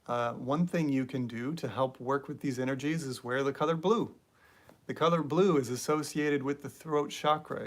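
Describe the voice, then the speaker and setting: A young man hosts a spiritual live stream in a loose, easygoing way. His voice sits at an ordinary middle pitch, and he drifts through his points with plenty of ums and uhs. He stops now and then to read viewer comments aloud. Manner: relaxed, rambling, with many fillers. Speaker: spiritual channel host.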